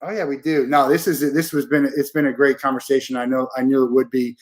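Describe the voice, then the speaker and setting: A man's voice: medium pitch, flat delivery, fast talker. A man hosting a podcast interview, talking in an informal, chatty way with many fillers. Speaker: podcast host. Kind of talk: casual interview conversation.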